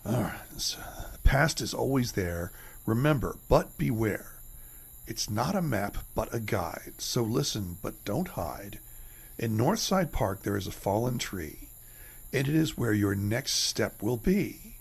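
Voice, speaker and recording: Jittery everyman played by a man for a radio play: husky, stammering, lively pitch. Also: a little slow, full character voice. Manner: stammering, hesitant. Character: restless male shelf stocker, nervous. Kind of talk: amateur radio drama acting.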